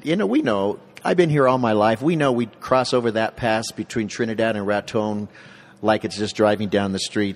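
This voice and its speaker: Earnest man with restarts, reverberant sound. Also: on the low side. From Southern Colorado. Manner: earnest, restarts words often. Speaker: community center staff. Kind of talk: casual interview conversation.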